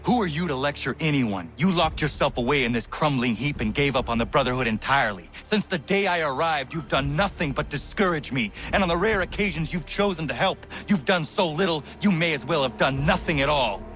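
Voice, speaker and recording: An actor playing an earnest, defiant young warrior in a video game, speaking bluntly with formal, measured words. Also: male voice, on the high side, lively intonation, fairly bright tone, faint music behind. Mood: defiant, angry. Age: young adult. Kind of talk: dramatic video game acting.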